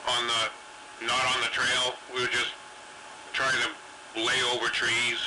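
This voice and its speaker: Casual male CB operator, average pitch, profane, repeats himself, heavy static on the transmission. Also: monotone, slow pace. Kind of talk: casual chat over CB radio. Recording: very noisy CB radio transmission.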